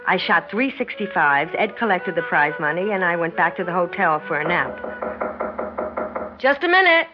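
An actress playing a proud, competitive young sports champion in a 1960s radio drama, curt and guarded. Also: female voice, lowish voice, big pitch swings, normal speed. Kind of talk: 1960s radio drama acting with first-person narration. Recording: dull 1960s radio recording, loud music.

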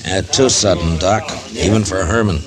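An actor playing a calm, steady frontier marshal, speaking in a slow, measured way in a 1950s radio drama. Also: male voice, crowd noise, natural voice. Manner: slow, measured, low-key. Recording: old radio broadcast with loud music.

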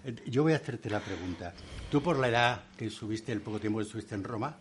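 A man from interviewing a guest in a relaxed conversation, asking questions with playful, joking asides. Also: lowish voice, fast talker, a little hiss or hum. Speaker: interviewer, writer.

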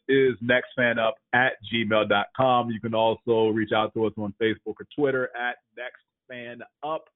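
A male sports podcast host chatting casually about the week's games. His voice sounds thin and phone-like, with no room echo at all. He runs through injury reports and team rankings in a relaxed way. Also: medium pitch. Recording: tinny phone-like dry sound.

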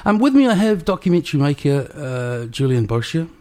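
A man's voice: a little high, big pitch swings, fairly quick, warm tone. A man from New Zealand with a Kiwi accent, hosting a casual interview chat from his small apartment, rambling with many restarts. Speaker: podcast host. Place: small rented studio apartment.

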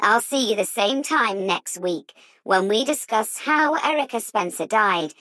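A woman reading as an insinuating true-crime podcast host, in deliberate, dramatic scripted audiobook narration. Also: mid-range voice, lively intonation, pushed and bright, no echo. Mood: teasing intrigue.

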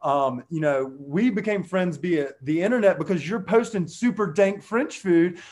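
A man hosting a casual podcast, talking with loud excited bursts and slang. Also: high voice, moderate pace. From US South. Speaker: podcast host. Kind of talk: casual podcast chat and sponsor read.

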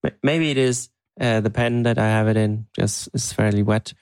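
A man's voice: on the low side, steady tone, normal speed, a little mellow, clean tone, no room sound. A man co-hosting a podcast in casual conversation, with hesitant, thoughtful phrasing. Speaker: podcast co-host. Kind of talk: casual chat.

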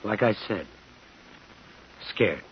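A man's voice: fairly low pitch, animated, drawn-out words, a little hiss or hum. An actor playing a determined, probing homecoming serviceman, terse and hard-boiled, in 1950s radio thriller style. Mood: cool, probing. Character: determined, probing man in uniform, bitter homecomer. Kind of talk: 1950s radio thriller acting and first-person narration. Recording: dull 1950s radio recording.

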